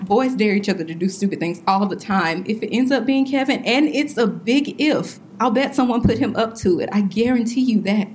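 Woman playing bereaved girlfriend for audio drama: stubborn, blunt, colloquial, highly animated delivery. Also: fairly quick, few pauses, a little hiss or hum, natural voice. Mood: defiant, upset. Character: grieving, defiant young woman questioned by police about boyfriend. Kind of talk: full-cast audio drama acting.

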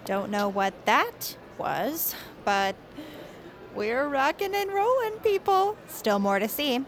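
An actress playing a perky, self-absorbed young fan video blogger with a chirpy, slangy delivery. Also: female voice, sits high, sing-song, slow pace, soft background music, heavily acted.

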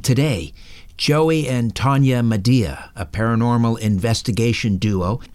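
A man's voice: medium pitch, a little slow, darkish tone. A man hosting a talk podcast, delivering scripted narration and sponsor reads. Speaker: podcast and radio host.

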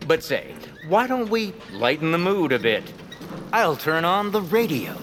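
A man's voice: a little high, sing-song, a little slow, noisy background. A man playing a pompous, upbeat feathered radio personality in a family audio comedy, theatrical and wordy. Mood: grandiose, grateful. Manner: theatrical, wordy, self-important.

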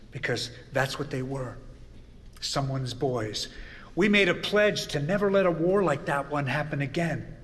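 A man playing an earnest, impassioned American president of the 1910s, giving emphatic oratory with dramatic pauses in an audio drama. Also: a little high, a bit bright. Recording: reverberant chamber, music underneath.

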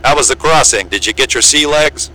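A man's voice: mid-range voice, fairly quick, bright, forceful tone, close to an everyday voice, low-quality recording. An actor playing a breezy, matter-of-fact, seasoned male Antarctic pilot in an audio drama. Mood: breezy, wry. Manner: breezy, matter-of-fact, wry, salty-tongued. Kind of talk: naturalistic audio drama acting with aviation radio jargon.

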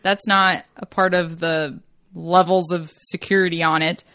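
Woman, lowish voice, halting word-searching explanations, dry close sound. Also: flat delivery, fairly bright tone, no echo. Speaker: co-host, new shooter. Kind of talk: casual chat.

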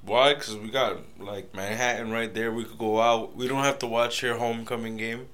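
A young man co-hosting a casual podcast chat in a laid-back, agreeable way, often trailing off into low mumbles. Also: fairly even intonation, normal speed, a bit bright. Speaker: podcast co-host.

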